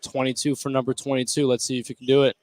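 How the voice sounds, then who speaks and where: A man's voice: mid-range voice, flat delivery, quick pace, darkish tone. A man giving casual color commentary at a live basketball game, chiming in with short conversational reactions. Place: indoor school gym, courtside.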